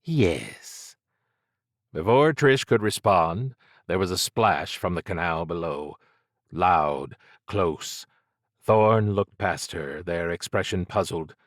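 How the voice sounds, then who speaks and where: A man narrating scripted fiction as an audiobook, with measured, dramatic storytelling. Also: low-pitched, no room sound, clean studio sound.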